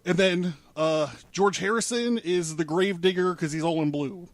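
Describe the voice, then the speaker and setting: A man's voice: sits high, normal speed, no room sound. A man presenting a case in casual studio talk, in an informal, rambling and sweary way. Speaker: presenter laying out a conspiracy theory.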